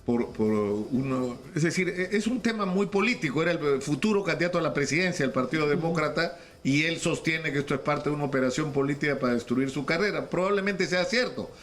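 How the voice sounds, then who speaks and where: A man's voice: on the high side, lively intonation, a bit bright, a little hiss or hum. A man with a Peruvian accent interviewing on radio, stumbling and repeating words as he builds his argument. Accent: Peruvian Spanish. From Peru. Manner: halting, repeats words, argues his point.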